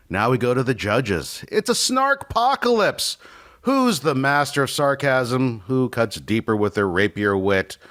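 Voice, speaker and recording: Male game-show-style moderator, lively pitch, theatrical announcing with casual asides. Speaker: host and moderator of a comedic debate show.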